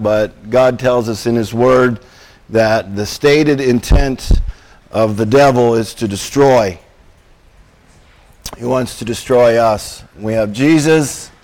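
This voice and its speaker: A male preacher gives a Sunday sermon in a hoarse, croaky voice worn down by a cold. He speaks slowly and haltingly, pausing on ums and clearing his throat, with a mid-range pitch. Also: darkish tone.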